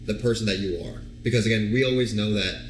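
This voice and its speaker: A man with a lowish voice hosts an interview, asking loose questions packed with fillers. The sound carries a noticeable roomy echo. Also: steady tone, quick pace, slight background noise. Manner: casual, filler-heavy, friendly prodding questions. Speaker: host, business coach.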